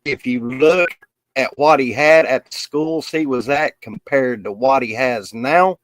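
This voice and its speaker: A man's voice: medium pitch, measured pace, low-quality recording. A man chatting casually as co-host on a college football show. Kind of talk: casual sports chat.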